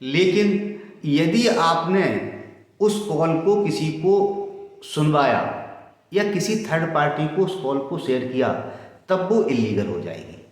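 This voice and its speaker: A middle-aged man explains legal matters to viewers in a formal, deliberate way, repeating points for emphasis. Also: a little high, expressive. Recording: very echoey room with long reverb.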